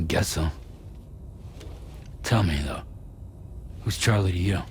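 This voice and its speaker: An actor playing a wary, blunt courier in a game, questioning things in a terse, skeptical way. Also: male voice, sits low, slow, slight background noise. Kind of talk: scripted game cutscene dialogue.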